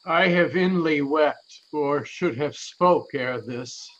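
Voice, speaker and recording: High-voiced, effusive man as an old counsellor. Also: slow pace, highs cut off. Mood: joyful reverence. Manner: effusive, declamatory. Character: devout, garrulous, kindly old counsellor. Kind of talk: Shakespearean radio play acting.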